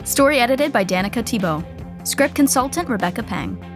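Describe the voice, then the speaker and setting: High-voiced woman narrator over music. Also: lively intonation, a little fast. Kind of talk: scripted comedic sitcom narration.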